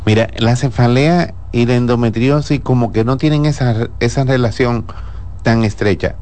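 A man, a gynecologist who answers listeners on a radio health show in a calm, explanatory way. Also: mid-range voice, moderate pace. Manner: calm, explanatory, laughs easily.

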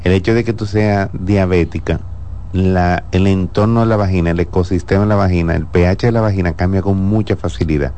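A man, a gynecologist who answers listeners on a radio health show in a calm, explanatory way. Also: deep voice, fairly quick. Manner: calm, explanatory, laughs easily.

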